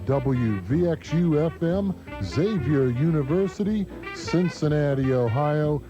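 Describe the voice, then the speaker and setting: A man's voice: fairly high pitch, animated, slow pace. A man reading a scripted promo as a radio station announcer. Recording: muffled radio broadcast taped on cassette. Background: music.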